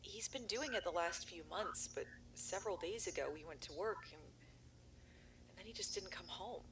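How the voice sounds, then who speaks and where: A woman's voice: lowish voice, normal speed, noisy background, natural voice. A woman playing a worried young videographer searching for her missing brother in an analog horror audio drama, talking in an earnest, rambling way.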